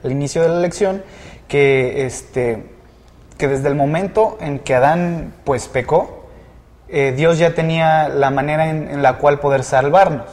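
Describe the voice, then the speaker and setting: A man's voice: on the high side, a little slow, darkish tone, big-room sound. Young Mexican man, hesitant student guest. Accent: Mexican Spanish. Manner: hesitant, many fillers. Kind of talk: casual discussion and prayer.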